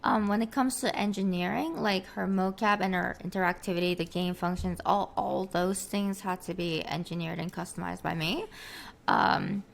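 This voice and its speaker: Soft-spoken young woman. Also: lowish voice, fairly even intonation, vocal fry. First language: Korean. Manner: soft-spoken, halting, many fillers, laughs often. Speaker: interview guest, streamer and engineer. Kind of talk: casual video call interview. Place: small home streaming room. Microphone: headset with desk mic on video call.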